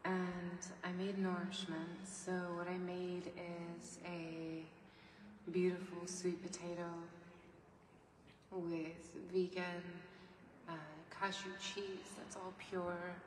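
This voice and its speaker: A young woman talking gently and rambling on an ASMR eating video, slipping into soft whispers, filmed sitting on a bed in a bedroom. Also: lowish voice, completely flat delivery, slow pace. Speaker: ASMR creator. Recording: echoey room from far off, noisy.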